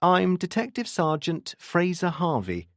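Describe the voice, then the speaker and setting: A narrator voicing a firm, authoritative male police detective sergeant with a brisk, commanding manner. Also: medium pitch, big pitch swings, dry recording, studio quality, pitched above the performer's own voice. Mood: official, firm.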